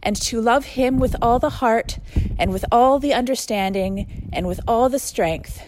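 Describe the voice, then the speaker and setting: A woman reading scripture aloud in a measured, deliberate way, recorded outdoors while walking along a street. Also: a little high, a little mellow, rough recording. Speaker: scripture reader. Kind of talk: read-aloud scripture with spoken introduction. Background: street noise.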